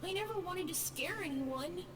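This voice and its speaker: A woman's voice: very high-pitched, lively intonation, normal speed, music in the background. Echoing, shy little ghost boy. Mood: apologetic. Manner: hesitant, earnest. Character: shy lost ghost boy, meek and apologetic. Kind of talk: video game voice acting. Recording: distant, echoing reverb.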